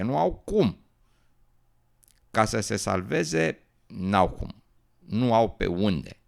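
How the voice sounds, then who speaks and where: A man's voice: fairly low pitch, gravelly. A man playing a young newt, an earnest, adventurous dreamer given to worry and doubt, in an educational radio drama. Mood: worried, sorrowful.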